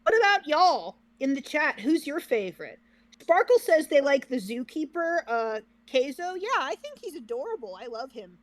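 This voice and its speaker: A woman's voice: high voice, very animated, normal speed, dry recording. A woman co-hosting a reaction livestream, chatting casually with the viewers. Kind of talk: casual chat with stream viewers.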